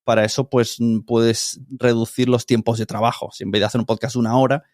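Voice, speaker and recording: A middle-aged man with a Spanish accent from Spain speaks in a casual interview style. His voice sits at an average pitch, in a dry, close acoustic. He explains things informally, as a podcast producer would to a colleague. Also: flat delivery, a little fast, a little mellow, dry recording.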